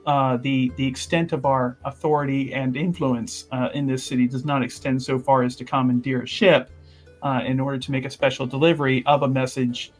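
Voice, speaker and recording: A man playing a flustered, obsequious university representative in improvised tabletop roleplay, halting and over-apologetic in formal language. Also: medium pitch, little pitch movement, soft background music, natural voice.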